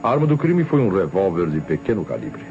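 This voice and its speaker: An actor playing a calm, sceptical Brazilian police inspector in an old radio drama, questioning with deliberate authority. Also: male voice, sits low, full character voice. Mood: probing, sceptical. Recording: dull vintage radio recording, faint music.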